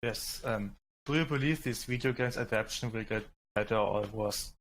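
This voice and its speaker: A man's voice: lowish voice, normal speed. A man on a discussion panel speaking hesitantly as he searches for words, with faint noise behind him. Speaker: podcast panelist. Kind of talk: reading a question and answering casually.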